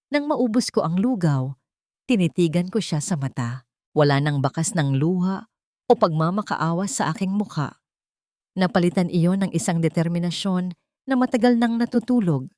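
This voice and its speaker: A woman with a low voice narrates a scripted drama in the first person. Her telling is solemn and full of feeling. The sound is close and dry, with no room on it. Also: a little mellow, no room sound. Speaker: audio story narrator.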